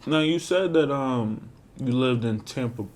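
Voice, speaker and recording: Male podcast host, casual and slangy with emphatic repetition, mid pitch, noisy recording. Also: unhurried, a little mellow. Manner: slangy, emphatic, repeats phrases. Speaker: podcast host interviewing his co-host. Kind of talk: casual podcast intro and interview.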